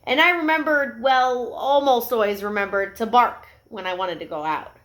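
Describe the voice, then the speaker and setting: A woman's voice: on the high side, moderate pace, a bit bright, close to an everyday voice. A woman voicing an eager, polite little male puppy in a storybook, earnest and childlike. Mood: earnest, hopeful.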